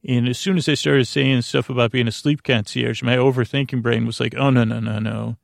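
Creaky voiced guy doing a sleepy podcast ramble, flat and soothing, keeps losing his thread mid word. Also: medium pitch, fairly quick, no echo, clean studio sound. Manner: meandering, hesitant, often repeats and trails off mid-word; soothing, lulling. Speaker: host and creator of a sleep podcast. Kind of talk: rambling bedtime monologue, talking gently to the listener.

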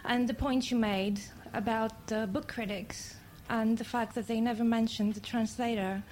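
A native Greek woman with a Greek accent, asking an earnest, polite, halting question from the audience at a public event. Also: a little high, steady tone, a little mellow. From Greece. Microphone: handheld audience microphone. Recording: faint background noise, live event.

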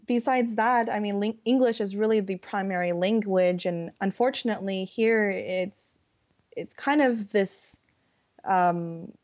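A woman's voice: mid-range voice, a little slow, darkish tone. A woman with a Californian accent chatting casually as a podcast guest, with a giggly, hesitant way of talking. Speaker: podcast guest, English conversation partner. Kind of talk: casual conversation. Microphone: online voice call. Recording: dull, lo-fi internet call audio.

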